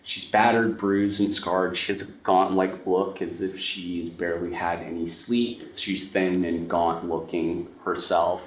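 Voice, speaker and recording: A man's voice: low voice, level tone, a little slow, hardly any pauses. A man acting as game master, narrating a tabletop horror game to players. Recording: echoey room, faint noise.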